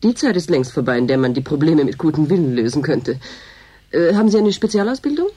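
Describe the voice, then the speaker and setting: Brief: husky, mellow-voiced female boss for radio drama, matter-of-fact, disillusioned, dryly officious. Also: low-pitched, very animated, fast, heavily acted. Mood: weary, sober. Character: world-weary, dryly officious female boss of a land reclamation station. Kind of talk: German radio drama acting.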